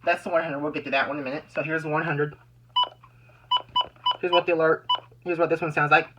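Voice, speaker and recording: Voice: mid-range voice, flat delivery, quick pace, very noisy. A person giving a casual, rambling show-and-tell demonstration, often repeating and restarting phrases. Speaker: amateur video maker demonstrating weather radios.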